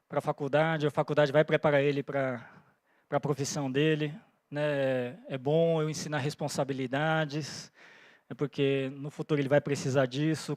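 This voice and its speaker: A pastor in his forties, born in Japan, preaches earnestly with a fairly high voice. He thinks aloud and checks in with his listeners. The sound is close and dry. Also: darkish tone, dry recording. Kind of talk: sermon. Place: church.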